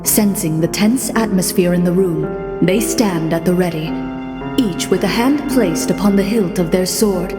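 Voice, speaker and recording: A woman's voice: fairly low pitch, measured pace, close to an everyday voice. A woman performing scripted fantasy narration as a game master setting a scene. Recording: echoey long reverb, music behind. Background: music.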